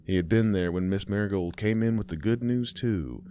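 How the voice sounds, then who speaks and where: A man with a low, deep voice tells a scary story in a folksy, unhurried way. He talks right to the listener like a host by the fire. There is a faint hiss behind him. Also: warm tone. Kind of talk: scripted horror story narration.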